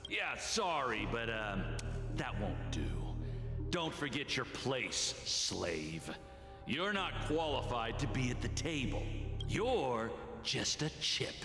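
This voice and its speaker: A man's voice: mid-range voice, very animated, slow pace. An actor playing a cruel, smug male slave master in a video game, with a drawling, taunting, theatrical delivery. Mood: mocking, menacing. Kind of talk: video game cutscene acting. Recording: long echoing hall reverb, music behind.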